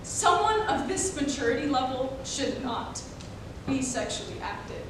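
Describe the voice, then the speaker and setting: A young woman performs a dramatic monologue with slow, emphatic phrasing. Her voice is high. She is picked up from far off in a very echoey room. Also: very animated. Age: young adult. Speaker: college speech competitor. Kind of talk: dramatic readers theater monologue. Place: indoor performance room, recorded from afar. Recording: very echoey room, distant mic, noisy.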